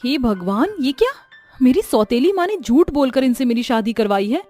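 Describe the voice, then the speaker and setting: Brief: actress as a young wife in a cartoon story, fairly high voice, faint music. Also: female voice, animated, normal speed, dark tone. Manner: earnest, thinking aloud. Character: young village wife, engineer, later a witch.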